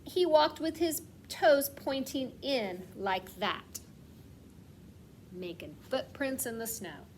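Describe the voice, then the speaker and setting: A woman reading a picture book aloud like a kindergarten teacher, stressing words expressively and putting questions to the children. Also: sits high. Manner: asks questions and pauses as if children answer; expressive storytelling with slowed, emphasised words. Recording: clear background noise.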